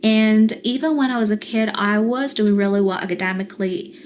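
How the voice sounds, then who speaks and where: A young adult woman with a Mandarin Chinese accent, a therapist talking calmly and earnestly to camera, explaining things in a coaching style. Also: medium pitch, brisk, slight background noise. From China. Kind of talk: calm coaching monologue to camera.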